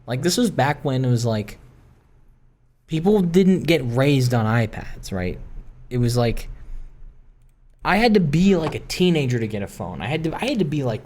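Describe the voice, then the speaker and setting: A young man rambling casually and candidly in personal stories over gameplay, introspective, halting and questioning himself. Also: medium pitch, big pitch swings, darkish tone, slight background noise. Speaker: gaming video maker.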